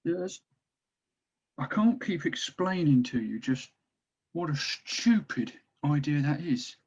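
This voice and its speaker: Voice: medium pitch, lively intonation, slow pace, darkish tone, slightly rough, highs cut off, exaggerated voice. A performer playing a cowardly, exasperated male orc captain plotting in secret at a table read.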